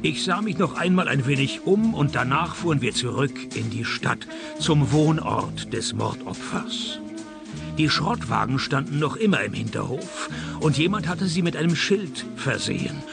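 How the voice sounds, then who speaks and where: An actor playing a dry, sardonic private detective in a radio play, with a deadpan, wry delivery. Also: male voice, a little high, moderate pace, creaky, music in the background. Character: dry, sardonic male private detective narrating his cases. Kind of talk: radio play narration and dialogue.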